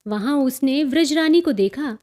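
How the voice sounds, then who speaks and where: A woman reading a literary story as an audiobook narrator, in an expressive, enunciated way. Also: high voice, unhurried.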